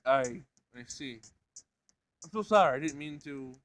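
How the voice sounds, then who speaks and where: A man's voice: medium pitch, animated, unhurried, a bit bright. A man voicing a polite, bewildered young human with a hesitant, questioning manner in a visual novel. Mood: apologetic.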